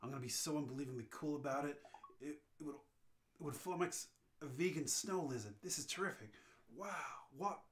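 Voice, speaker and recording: A man playing a cocky, excitable show-off space rogue in a live amateur read of a comic radio play. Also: fairly high pitch, a little fast, close to an everyday voice. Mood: smug, excited. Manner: brash, exclamatory, boastful.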